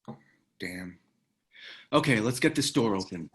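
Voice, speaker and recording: A man playing a wary, gruff ex-government reluctant hero in a screenplay table read. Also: lowish voice, animated, unhurried, a bit bright. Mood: wry.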